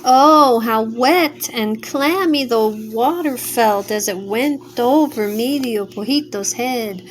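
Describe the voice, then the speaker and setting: Slow older woman reading a bedtime story. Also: on the high side, slight background noise. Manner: slow, gentle, expressive. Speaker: grandmother storyteller. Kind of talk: bedtime story read-aloud.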